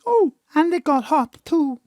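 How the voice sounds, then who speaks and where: A woman's voice: high-pitched, big pitch swings, measured pace, a little mellow, no room sound, studio quality. A woman playing a matter-of-fact, slightly impatient little girl in an audio drama. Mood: deadpan. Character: small deadpan little girl, last of humankind.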